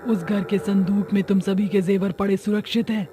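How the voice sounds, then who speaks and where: A man voicing a gold-greedy female ghost witch in a horror story, measured and theatrical. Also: sits high, flat delivery, dark tone, voice pushed up, close to an everyday voice. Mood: confessing.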